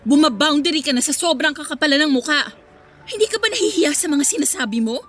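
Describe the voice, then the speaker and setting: Radio drama role: betrayed young woman, high-pitched, biting sarcasm, soft music behind. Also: lively intonation, fast talker. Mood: angry, incredulous. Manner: sarcastic, cutting. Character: hurt, sarcastic young woman confronting cheating boyfriend. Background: faint background music.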